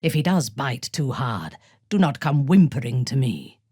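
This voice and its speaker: A proud, imperious female dark elf war leader for a fantasy video game. Her voice is breathy and husky, recorded close and dry. She speaks slowly and formally, with wide, expressive swings of pitch and cold disdain. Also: no room sound, exaggerated voice. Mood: disdainful, commanding. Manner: measured, haughty, formal diction. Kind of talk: video game character dialogue.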